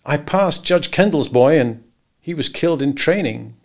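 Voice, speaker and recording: A man voicing a weary, solemn middle-aged man who speaks in a tired, quiet way. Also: a little high, lively intonation, normal speed, pitched above the performer's own voice. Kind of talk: audiobook character voice.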